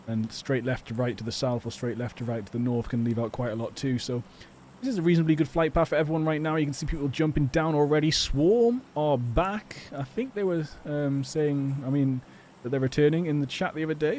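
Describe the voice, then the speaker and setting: A man's voice: mid-range voice, darkish tone, slight background noise. A British man giving casual, rambling live game commentary as an esports caster, chatty and a little hesitant. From England. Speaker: esports caster and stream host. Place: home or personal studio.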